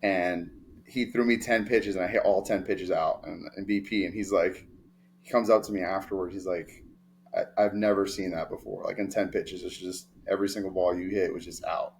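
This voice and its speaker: A young man from New York, a sports agent telling stories in a relaxed, rambling interview with many fillers. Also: low-pitched, moderate pace, a little hiss or hum. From New York, of Dominican family. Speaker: baseball player agent, guest. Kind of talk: casual interview storytelling.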